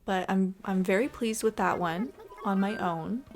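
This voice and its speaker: A woman provides casual commentary as the host of a gaming video. She speaks in a friendly, conversational way, addressing her viewers directly. Her voice sits at an ordinary pitch for a woman, with light music playing behind it. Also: measured pace. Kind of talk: casual chatty game commentary.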